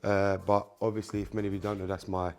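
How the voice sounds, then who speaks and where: A man's voice: lowish voice, level tone, fast talker, soft background music. A man whose first language is Spanish, hosting a hands-on car repair tutorial, talking casually to viewers with frequent ums and obviouslys. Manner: casual, repeats filler words like "um" and "obviously"; addresses viewers directly as "you guys" while working. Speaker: car mechanic channel host.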